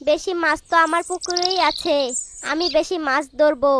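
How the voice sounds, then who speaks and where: A woman's voice: sits high, steady tone, moderate pace, natural voice. A woman voicing an eager, teasingly boastful village wife competing with her co-wife in a cartoon fairy tale. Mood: competitive. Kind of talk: animated fairy tale voice acting. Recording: clearly noisy track with birdsong.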